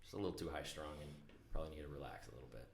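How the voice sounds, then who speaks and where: A man talking in a casual podcast conversation as a company CEO guest, earnest and reflective, with frequent pauses and restarts. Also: a bass voice, creaky, echoey room.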